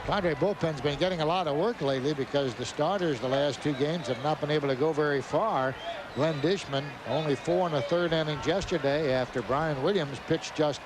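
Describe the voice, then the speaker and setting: Man, American accent, sports commentary, raised pitch, ballpark booth, loud background noise. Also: brisk. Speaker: baseball broadcast co-commentator. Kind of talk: colour commentary and promos. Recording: very noisy ballpark broadcast.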